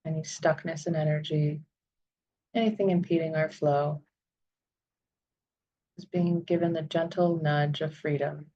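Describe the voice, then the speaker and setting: Female meditation guide: very slow, soothing, lowish voice, long pauses, slightly muffled lo-fi recording. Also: a little mellow.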